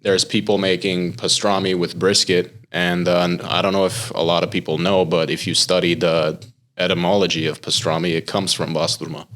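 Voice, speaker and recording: A young American man, a chef, talking casually in a podcast interview and explaining things in a relaxed way, with lots of filler words. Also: deep voice, moderate pace. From United States. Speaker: chef and pitmaster, podcast guest.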